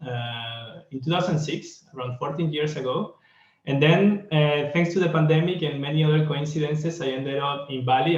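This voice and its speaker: A man from Venezuela giving a reflective online conference talk over a video call, pausing often with fillers. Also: medium pitch, roomy sound, highs cut off. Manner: reflective, hesitant with many fillers. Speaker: guest speaker.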